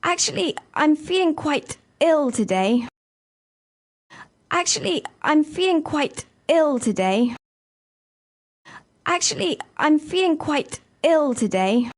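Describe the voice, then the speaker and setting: A woman reading scripted dialogue parts for an English listening lesson, speaking clearly and carefully. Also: high voice, expressive, takes it slowly, long pauses. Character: woman calling in sick, then a polite hotel receptionist. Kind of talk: scripted role-play dialogue.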